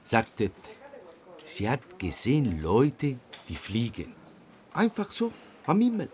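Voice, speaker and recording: Italian cop in a radio drama, broken german, slow but really animated, amused and disbelieving. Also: male voice, mid-range voice. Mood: amused, incredulous. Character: amused, incredulous male Italian police investigator. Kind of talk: radio play acting.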